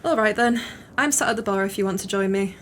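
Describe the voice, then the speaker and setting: A woman's voice: medium pitch, fast, fairly bright tone, slight background noise, natural voice. A woman playing a kindly, concerned tavern regular in an audio play, casual and considerate. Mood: gently concerned.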